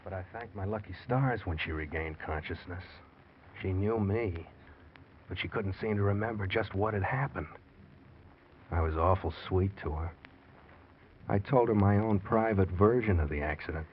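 An actor playing a scheming, guilty husband who feigns tender concern, in old-time radio drama style. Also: male voice, sits low, moderate pace, slight background noise, close to an everyday voice. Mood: sly confession. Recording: muffled vintage radio recording.

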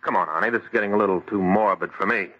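An actor playing a sensible, exasperated friend in an old radio horror drama, talking bluntly and scoffingly. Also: male voice, lowish voice, rapid-fire, natural voice. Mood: impatient. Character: sensible, exasperated male friend and future brother-in-law. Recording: dull, noisy vintage radio recording.

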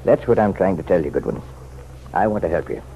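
A man's voice: sits low, animated, fast talker, creaky. An actor in an old-time radio drama playing an insistent, folksy townsman who offers pointed, chummy advice. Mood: warning, pressing. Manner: folksy, chummy, leaning and persuasive. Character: insistent, insinuating townsman, nosy self-appointed helper to the sheriff. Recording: muffled, noisy vintage radio recording.

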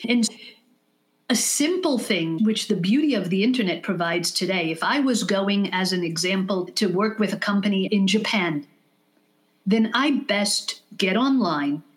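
Woman calmly explaining leadership advice. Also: mid-range voice, poor audio. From United States. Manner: measured, explanatory, uses examples. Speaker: guest, leadership consultant. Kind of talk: interview answers.

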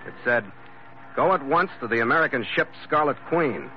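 A man's voice: medium pitch, lively intonation, moderate pace, a little hiss or hum, lightly acted. An actor playing a tough, wry sea captain who narrates his own radio adventure in a clipped, sardonic, hard-boiled manner. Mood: terse, narrating. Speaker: lead actor and first-person narrator. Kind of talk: 1940s-50s radio adventure narration and acting. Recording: dull old radio recording.

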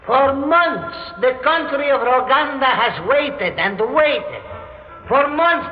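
A man acting an indignant, accusing foreign delegate declaiming a speech in an old radio drama. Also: very high-pitched. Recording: echoing hall, muffled radio, faint music.

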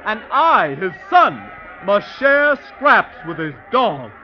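An actor playing a defiant, ragged young son of a rich villager, declaiming as if to a crowd in a 1950s radio drama. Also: male voice, high-pitched, very animated, slow, slight background noise, full character voice. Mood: loudly aggrieved. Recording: dull old radio broadcast recording.

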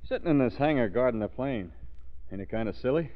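Actor as a nervous soldier, lively pitch, muffled. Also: male voice, a little fast, lightly acted. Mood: uneasy. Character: doubting, nervous, simple young soldier. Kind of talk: 1930s radio drama acting. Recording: muffled old radio recording.

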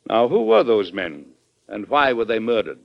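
Firm, low-voiced president, old radio drama. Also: male voice, wildly swooping pitch, vocal fry, full character voice. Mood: courteous, grave. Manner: formal, deliberate, courteous but firm. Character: firm, measured American president facing a diplomatic crisis. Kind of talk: classic radio drama acting. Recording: dull old radio broadcast recording.

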